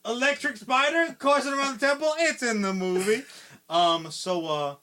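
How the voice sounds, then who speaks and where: A man's voice: very high voice, normal speed, bright, forceful tone. A man co-hosting a podcast, casually retelling a movie plot in a rambling way, with constant swearing and lots of fillers. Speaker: podcast co-host.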